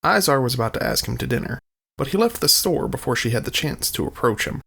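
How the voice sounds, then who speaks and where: A man with a gravelly voice narrating a scripted audio drama. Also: mid-range voice, expressive, quick pace, a bit bright.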